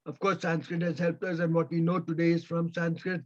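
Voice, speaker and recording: A man whose first language is Persian answers audience questions on a video call, slowly and with many pauses. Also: on the high side, level tone. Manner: slow, halting, with many pauses. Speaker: invited speaker. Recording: dull lo-fi video call audio.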